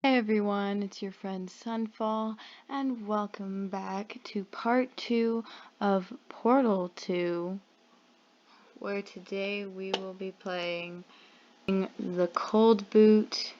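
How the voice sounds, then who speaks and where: Very slow-talking woman, dull lo-fi sound. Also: mid-range voice, fairly even intonation, a little mellow. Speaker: gaming video host. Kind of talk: video game playthrough introduction. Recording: dull lo-fi voice recording.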